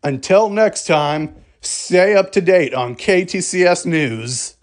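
Guy doing a formal emergency alert announcement, really slow and measured, voice a bit on the high side. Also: expressive. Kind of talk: scripted emergency broadcast announcement.

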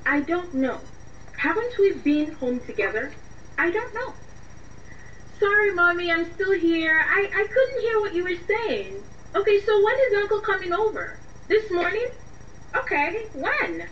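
A woman's voice: very high-pitched, very animated, unhurried, heavily acted. An actress playing a panicky, pleading young woman in a radio drama, with a Nigerian accent and breathless, repetitive delivery. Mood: nervous. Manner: breathless, pleading, repeating herself. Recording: muffled distant mic with faint noise.

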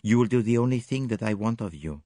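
A man voicing a calm, shrewd male detective in a storybook reading, formal, measured and gently insistent. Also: on the low side, dry recording, studio quality, pitched above the performer's own voice, close to an everyday voice. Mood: quietly confident. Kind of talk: audiobook character dialogue.

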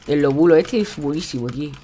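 A man's voice: fairly high pitch, lively intonation, fast talker, mellow. A young man with an accent from Spain chats casually and encouragingly in slang as he hosts a live game stream. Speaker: game streamer, host of one-on-one matches. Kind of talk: casual live gameplay chat. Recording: clear background noise, keyboard clicks.

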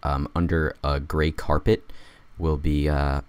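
A man just out of bed with a raspy voice, rambling through a casual let's-play commentary with wry jokes. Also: extremely low pitch, little pitch movement, takes it slowly, no room sound. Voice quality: raspy, gravelly. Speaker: gamer doing a let's play.

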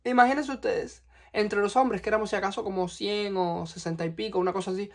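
Fast-talking young Cuban man. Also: sits high. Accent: Cuban Spanish. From Cuba. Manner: animated, expressive, gesturing with hands. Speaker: vlogger. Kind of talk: personal storytelling vlog. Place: small bedroom, indoors. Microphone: camera in front at close range.

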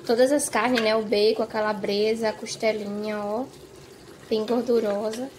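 A woman's voice: on the high side, flat delivery, normal speed, noisy background. A woman chattily walking through a home recipe in her kitchen, often checking in with the viewers. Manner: chatty, tags phrases with "tá?" and "gente". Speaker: home cook vlogger. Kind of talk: casual cooking narration.

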